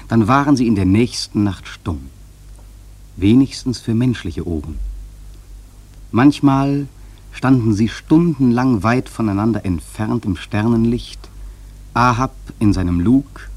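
A man acting a grave sailor who narrates a radio play in a measured, solemn literary style with dramatic swells and a husky voice. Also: fairly low pitch, darkish tone. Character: grave male sailor narrating a haunted whaling voyage.

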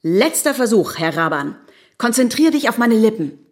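A woman's voice: a little high, sing-song, moderate pace. A woman playing a sarcastic, teasing wife who needles her failed-writer husband in German radio play dialogue. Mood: exasperated, insistent. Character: sharp-tongued, mocking wife of a failed writer.

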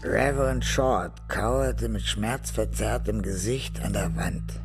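Crime radio narrator: male, lowish, unhurried delivery over prominent music. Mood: measured.

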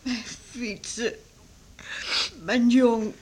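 Radio drama: worried island mother, middle-aged,, high pitch, fretful and soothing, slightly hissy old recording. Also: fairly even intonation, very slow, a little mellow. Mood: tearful, tender. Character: anxious, tender mother of an island family.

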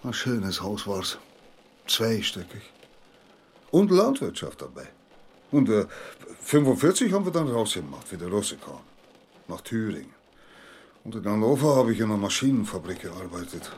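An actor playing an old, plain-spoken village blacksmith in an audio drama, rambling in a halting, folksy way. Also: male voice, on the low side, big pitch swings, darkish tone, husky. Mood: plain, reminiscing. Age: older. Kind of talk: literary audio drama dialogue.

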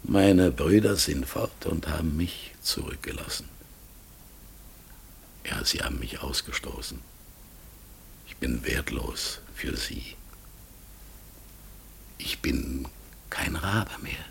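A man playing a melancholy outcast talking raven in a radio play, solemn, gravelly and husky, sometimes sinking into whispers. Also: very deep voice, expressive, slight background noise, heavily acted. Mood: anguished, forlorn. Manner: slow, solemn, mournful.

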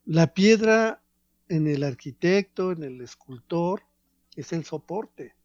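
Man, professorial radio commentator, slow deliberate delivery with pauses. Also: a little high, lively intonation, darkish tone, no echo, dull audio. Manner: measured, professorial, pauses between phrases. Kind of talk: reflective radio talk on art.

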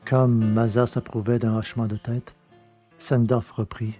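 A man narrates a novel in a measured, deliberate way. His voice is mellow and dark-toned, set at an average pitch for a man. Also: little pitch movement, faint music behind. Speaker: audiobook reader. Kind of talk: scripted narration of a science-fiction novel.

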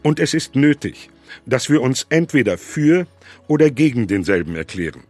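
An actor reading the letters of a worried 19th-century businessman to his brother, in a measured, earnest way over faint music. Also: mid-range voice, very animated, darkish tone. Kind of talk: reading letters aloud in a radio documentary.

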